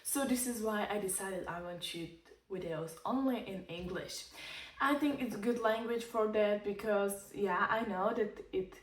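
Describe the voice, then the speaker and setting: A young woman from the Czech Republic with a Czech accent, talking through a casual DIY vlog in halting English as she searches for words. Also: medium pitch. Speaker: vlogger. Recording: echoey, from a distance.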